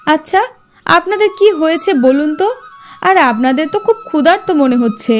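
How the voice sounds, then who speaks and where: A woman's voice: sits high, animated, fairly quick, soft background music. A woman voicing a kind, spirited young forest girl, earnest and confident, in a cartoon fairy tale. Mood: concerned.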